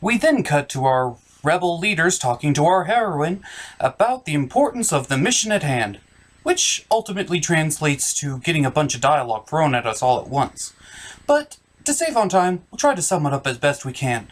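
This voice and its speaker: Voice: mid-range voice, sing-song, normal speed. A theatrical, exaggerated host narrating a scripted comedic movie recap.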